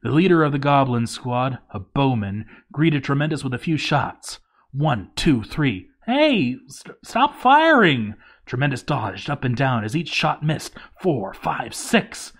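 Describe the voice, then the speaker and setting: A man's voice: mid-range voice, measured pace. A man reading a written game playthrough story aloud as a dramatic scripted narration.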